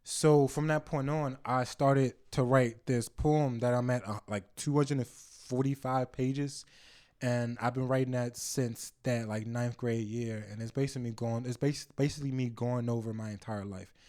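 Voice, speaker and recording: A man's voice: mid-range voice, fairly even intonation, moderate pace, a little mellow. A young man, a podcast guest whose first language is not English, chatting casually in a loose, slangy, profane way with lots of fillers. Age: young adult. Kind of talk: casual chat.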